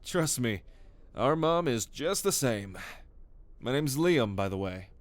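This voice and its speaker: A man's voice: mid-range voice, very animated, slow pace, no echo. A man playing an easygoing, polite young brother in an audio roleplay, casual and courteous.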